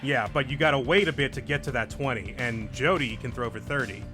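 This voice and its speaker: Brief: male let's play host, relaxed explainer, game music playing underneath. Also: medium pitch, brisk. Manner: explains game mechanics patiently. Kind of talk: casual gameplay commentary.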